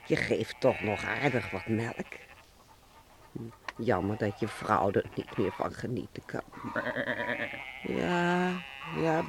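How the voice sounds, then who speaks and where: An actor playing a lonely, resigned old shepherd in a radio play. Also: male voice, medium pitch, gravelly, full character voice. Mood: wistful. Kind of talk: Dutch radio play acting. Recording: noisy old radio recording, farm animal effects.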